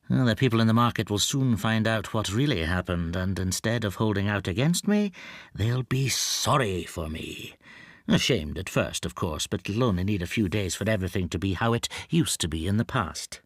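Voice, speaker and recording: A mild, wronged bookseller in a radio play, with a fairly low voice. He is lonely and despairing, turning his thoughts over haltingly, as if talking only to himself. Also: expressive, no room sound, studio quality. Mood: wry, hopeful. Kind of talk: radio drama acting with interior monologue.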